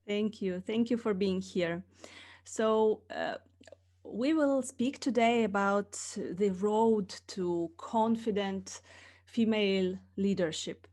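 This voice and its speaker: A woman's voice: medium pitch, slow pace, dark tone. A woman hosting a podcast interview, introducing her guest with frequent hesitant "uh" fillers. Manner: hesitant, many filler uhs. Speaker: podcast host.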